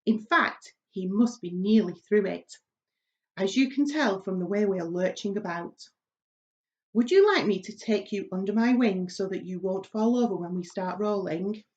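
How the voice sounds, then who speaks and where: A kind, gentle lady ladybird from a storybook, played by a woman reader. She speaks with confiding assurance, in a slightly lo-fi recording. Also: mid-range voice, normal speed, lightly acted. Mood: explaining.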